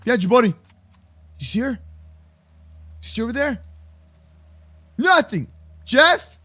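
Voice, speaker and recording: A young man with a very high, bright voice hosts a gaming roleplay. His pitch swings widely as he exclaims and repeats words in slangy, excitable bursts. Faint music plays behind him. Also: a little slow. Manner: slangy, addresses partner as bro and man. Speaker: gaming video host and roleplayer. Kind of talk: improvised Minecraft roleplay commentary for kids.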